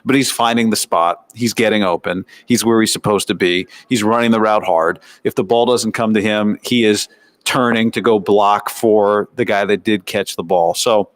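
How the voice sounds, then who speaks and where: Low-pitched American male sports commentator. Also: steady tone, normal speed, no room sound. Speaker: sports show host and reporter. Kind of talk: sports commentary, practice recap.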